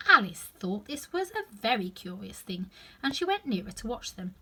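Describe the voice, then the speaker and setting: A woman's voice: medium pitch, big pitch swings, moderate pace, pushed and bright, slightly rough. A woman reading a children's story aloud expressively, with chatty asides to the listener.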